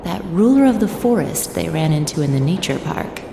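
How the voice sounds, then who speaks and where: A woman's voice: animated, normal speed. An actress playing a wry, knowing woman in a video game, musing to herself. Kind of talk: video game cutscene dialogue acting. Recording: echoey, clear train rumble behind.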